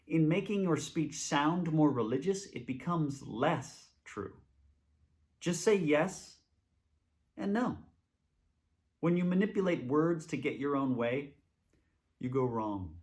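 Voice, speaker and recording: A man reading scripture aloud for a church in an expressive reading style. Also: on the high side, slow pace, long pauses.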